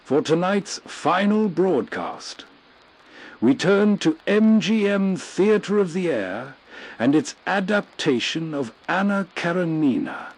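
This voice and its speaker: A man's voice: high-pitched, animated, slow pace. A man hosting with scripted, atmospheric storytelling narration. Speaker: show host.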